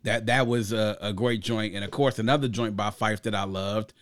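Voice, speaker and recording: A man's voice: lowish voice, fairly even intonation, normal speed, dry recording, clean studio sound. A man from Grenada hosting a casual music review, speaking emphatically and repeating phrases for stress. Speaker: podcast host and album reviewer.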